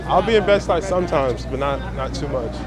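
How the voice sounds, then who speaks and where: A man's voice: fairly high pitch, animated, brisk, music in the background. A man chatting casually and in slang, as a photographer being interviewed. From Brooklyn, New York. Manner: slangy, conversational. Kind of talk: casual chat. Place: outdoors on city streets. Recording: clear outdoor city traffic noise.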